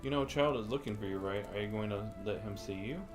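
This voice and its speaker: Low-voiced man streaming over game music. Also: quick pace. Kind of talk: game livestream, reading lines aloud and commenting.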